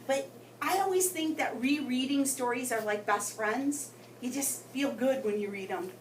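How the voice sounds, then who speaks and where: A woman reading a story aloud to children in an expressive way, with dramatic pauses and emphasis. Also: high voice. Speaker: guest story reader for children. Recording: roomy, distant mic with background noise.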